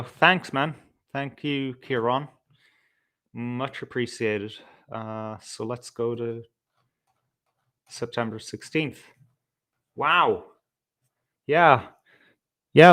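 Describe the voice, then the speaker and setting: A man's voice: medium pitch, a bit bright, no room sound, clean studio sound. A man hosting a live stream, talking casually in a rambling, sweary, unscripted way. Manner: rambling, sweary, easily distracted. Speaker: host of a live stream show.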